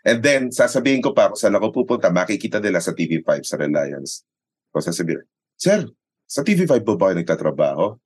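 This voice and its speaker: A man's voice: sits low, very animated, fast talker, husky, no echo, clean studio sound. A man with a Filipino accent telling stories in casual conversation as an interview guest, acting out quotes and often checking in with tag questions. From Philippines. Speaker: sports announcer and radio commentator, interview guest. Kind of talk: casual conversational storytelling, mixing Tagalog and English.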